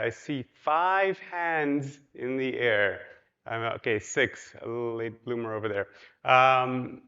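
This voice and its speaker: A man's voice: medium pitch, fairly bright tone, clean tone, muffled recording. A man from Poland giving a casual, chatty conference talk, with many ums and you-knows, and questions put to the audience. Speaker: conference speaker. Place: large hotel ballroom.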